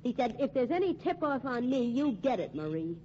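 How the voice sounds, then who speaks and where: A woman's voice: high voice, very animated, fairly quick, darkish tone. A woman acting as a tough, wary nightclub singer in a 1950s radio mystery, with a slangy, defiant, guarded way of talking. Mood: grudging, guarded. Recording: muffled, hissy 1950s radio recording.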